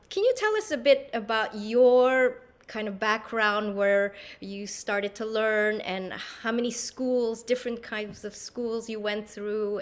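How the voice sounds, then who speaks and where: Woman host asking long questions. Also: medium pitch. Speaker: host and pianist. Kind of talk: interview host.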